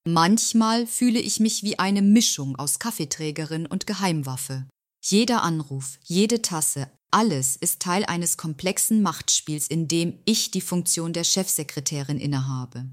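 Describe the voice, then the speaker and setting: A woman playing a courteous, deferential executive secretary with quiet pride, in a satirical radio play. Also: lowish voice, animated, a little slow. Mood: wry. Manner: deferential, soothing, politely knowing. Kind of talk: German radio play acting.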